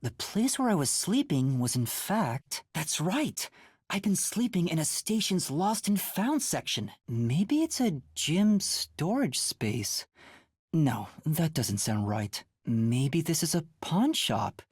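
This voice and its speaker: A woman voicing a timid, bewildered boy trainee detective in a video game, with a light, youthful, slightly breathy voice and a hesitant, earnest manner. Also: low voice, big pitch swings, slow pace, a little mellow, full character voice. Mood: puzzled, uneasy.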